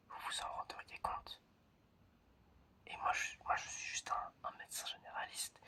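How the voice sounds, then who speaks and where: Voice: very high voice, gravelly. Whispered voice, ASMR roleplay, quirky family doctor, hesitant, repetitive phrasing, crackly texture. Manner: hesitant, halting, softly conversational with repeated phrases. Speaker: ASMR creator playing a general practitioner.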